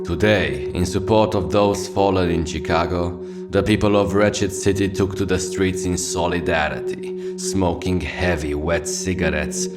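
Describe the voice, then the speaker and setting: A jaded city radio host of the sixties, played by a man, speaks in a very low voice over prominent music. He narrates in a deadpan, sardonic way, with grand literary phrasing, as in a radio drama. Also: a little slow. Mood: solemn, lyrical. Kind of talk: scripted radio monologue, poetic narration. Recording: music behind the voice.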